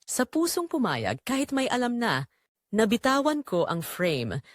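A woman's voice: a little high, huge pitch swings, a little slow, dry recording, studio quality, full character voice. A woman playing a guilt-ridden young woman who confesses a forbidden love in an emotive, dramatic audio drama narration. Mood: guilt-stricken.

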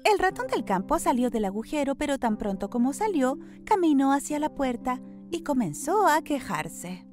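A woman narrating a scripted children's story with an expressive storyteller's delivery. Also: sits high, normal speed, faint music behind.

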